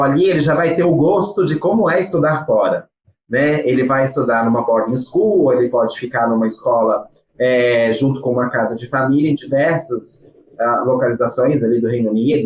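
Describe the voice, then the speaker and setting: Brazilian man in an echoey room. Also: medium pitch. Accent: Brazilian Portuguese. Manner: measured, with frequent hesitation fillers. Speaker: guest from a study-abroad agency. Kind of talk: explanatory interview answers.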